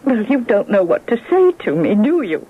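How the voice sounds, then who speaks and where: A woman's voice: medium pitch, sing-song, moderate pace, breathy edge, narrow, tinny audio. An actress playing a hurt, devoted secretary in her sixties, formal and precisely spoken, in a radio drama. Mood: bitter, formal. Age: 60s. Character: hurt, devoted sixty-one-year-old woman secretary. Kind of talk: old-time radio drama acting. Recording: thin, band-limited old radio broadcast.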